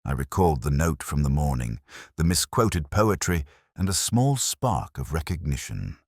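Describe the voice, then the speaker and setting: A man reading a first-person detective story in a measured, formal, literary manner, as scripted narration. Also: very deep voice, big pitch swings, darkish tone, dry recording, studio quality. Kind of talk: scripted audiobook-style mystery narration.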